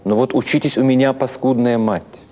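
A man's voice: medium pitch, fairly even intonation, moderate pace, dark tone, pitched above the performer's own voice, natural voice. An actor voicing an indignant, scolding old man in an audiobook story. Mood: reproachful scolding. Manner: scolding, exclamatory. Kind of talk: audiobook character acting.

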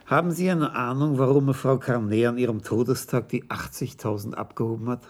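An actor playing a calm, shrewd senior police commissioner in a radio crime drama, with measured, probing speech. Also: male voice, mid-range voice, natural voice. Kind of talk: 1980s German radio drama acting.

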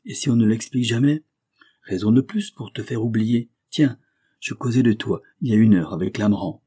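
Man reading as an insistent, affectionate young gentleman. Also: lowish voice, moderate pace, husky, no room sound, clean studio sound, voice pushed up. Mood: urging. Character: earnest young gentleman, solicitous, urging friend. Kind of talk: audiobook character dialogue.

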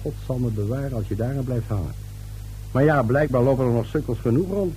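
Mellow-voiced grumbling family man acted by a man. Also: medium pitch, fairly quick, a little hiss or hum, lightly acted, poor audio. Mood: scornful. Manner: curt, scornful. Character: grumbling, dismissive husband and father, guarded under questioning. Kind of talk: radio play acting.

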